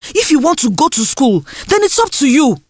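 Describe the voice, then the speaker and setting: Folktale mother, played by a woman: harsh, dismissive scold, fairly high voice, dry recording. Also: sing-song, moderate pace, dry recording. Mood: angry. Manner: scolding, dismissive, rhetorical questions.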